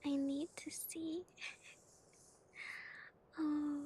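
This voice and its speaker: A woman whispering gently and softly as an ASMR streamer, giggling now and then and playing a cute spooky bat persona. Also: sits high, level tone, very slow, a little hiss or hum. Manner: calls herself a bitty bat, spooky cutesy persona. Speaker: ASMR streamer reading chat.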